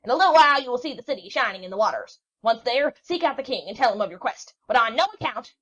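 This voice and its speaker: A woman's voice: animated, quick pace, pushed and bright. A woman voicing a cranky ancient sea witch who shrieks, scolds and rambles in a storybook reading. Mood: shrill scolding. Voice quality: shrill, screeching. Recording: dull lo-fi recording.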